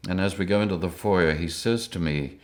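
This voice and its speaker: An older man from Rhodesia, telling lively anecdotes in an interview and chuckling often. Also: low voice, a little fast. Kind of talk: storytelling interview.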